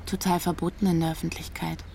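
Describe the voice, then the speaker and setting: A woman's voice: fairly low pitch, little pitch movement, fast, gravelly, dark tone, a little hiss or hum. An actress playing a disillusioned young woman in a radio drama, speaking in a frank, matter-of-fact, naturalistic way. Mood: disillusioned, reflective. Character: disillusioned young woman from Leipzig, direct, searching.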